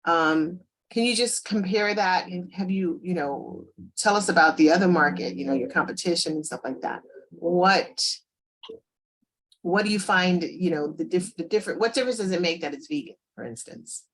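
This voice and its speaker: A woman's voice: lowish voice, normal speed, poor audio. A woman hosting an interview, asking questions enthusiastically and rambling with many fillers. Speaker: interview host.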